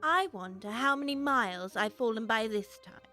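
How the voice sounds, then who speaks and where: Storybook little girl: high, bright voice, curious, chatty, thinking aloud, music underneath. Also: moderate pace. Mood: wondering. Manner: musing aloud to herself. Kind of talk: full-cast audiobook acting.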